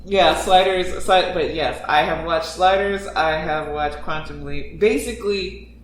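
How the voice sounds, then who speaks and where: A woman on a casual podcast, animated and self-mocking, laughing a lot as she talks. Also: fairly low pitch, measured pace, roomy sound, recorded from a distance. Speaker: podcast voice actor.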